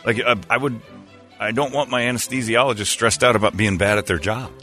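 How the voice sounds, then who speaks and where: A man's voice: medium pitch, steady tone, brisk, sharp tone, faint music behind. A man hosting a radio show with sarcastic, casual comedic banter.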